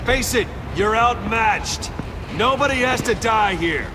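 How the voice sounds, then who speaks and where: An actor playing a smug, controlling villain in a video game, taunting and impatient. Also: male voice, very high voice, lively intonation, a little slow, noisy background. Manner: taunting, commanding. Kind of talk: dramatic video game acting.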